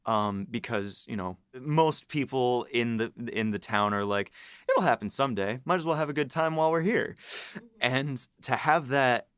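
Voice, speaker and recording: A man's voice: medium pitch, moderate pace, a bit bright, clear, resonant tone, no room sound, studio quality. A man who writes for the series, chatting casually behind the scenes and rambling with many restarts and frequent laughs.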